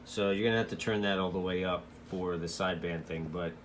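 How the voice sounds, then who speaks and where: A man's voice: low voice, steady tone, brisk, slight background noise. A man giving a casual, loosely explained product walkthrough as a radio hobbyist reviewer, in an informal, rambling way.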